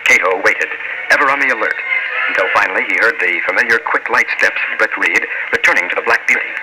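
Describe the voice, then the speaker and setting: A man narrating a 1940s radio drama in a deliberate, ominous, scripted manner. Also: on the low side. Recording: noisy old radio recording.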